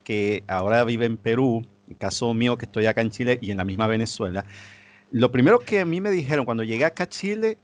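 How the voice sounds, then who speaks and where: A man hosting a podcast who tells an anecdote in a casual, animated way. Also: mid-range voice, fast talker. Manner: animated storytelling with quoted dialogue. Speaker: podcast host. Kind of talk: casual conversation, telling an anecdote. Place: living in Chile.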